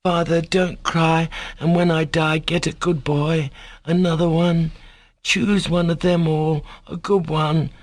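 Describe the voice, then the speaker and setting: Storybook sick child man acting: high, faltering, sorrowful, pleading tenderly, dry acoustic. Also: little pitch movement, slow pace, no echo.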